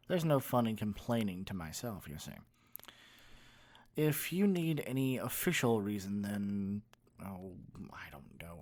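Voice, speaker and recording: A man voicing a spoiled, haughty evil king in an ASMR roleplay, speaking in a theatrical, condescending drawl. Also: mid-range voice. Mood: self-pitying, indulgent.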